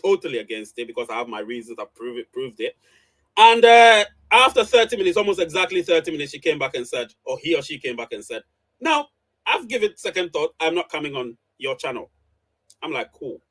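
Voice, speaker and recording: Male live-stream host, higher-pitched voice, emphatic and repetitive commentary. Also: very animated, a little fast, a bit bright, low-quality recording. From Ghana. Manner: emphatic, repeats phrases for emphasis. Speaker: online show host. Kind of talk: live reaction commentary to followers.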